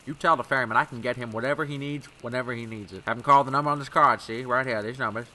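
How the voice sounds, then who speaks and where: An actor playing a chummy, cocky 1920s smuggler with wheedling gangster patter, in old-time radio style. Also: male voice, medium pitch, racing, sharp tone, poor audio. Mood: sly, chummy. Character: cocky, chummy male 1920s smuggler, fast-talking wise guy.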